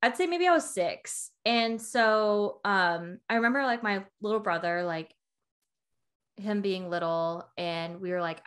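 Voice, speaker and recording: A young woman with a bright, clear-toned voice talks through a relaxed podcast conversation. She strings her thoughts together with lots of "like"s and acts out other people's lines as she goes. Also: medium pitch, measured pace. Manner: chatty, heavy use of "like", acts out quoted people. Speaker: podcast co-host. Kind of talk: casual podcast chat.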